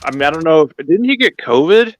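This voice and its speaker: A man's voice: a little high, big pitch swings, brisk, soft background music. A man on a livestream panel chatting casually about sports in a tentative, colloquial way.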